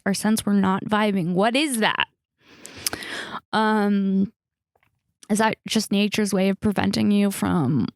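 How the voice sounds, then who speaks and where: Creaky-voiced woman podcaster in her thirties. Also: medium pitch, dry recording, studio quality. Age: 30s. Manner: rambling, candid, self-mocking, casual slangy talk. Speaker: comedian and podcast host. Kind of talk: casual solo podcast chat, comedic storytelling.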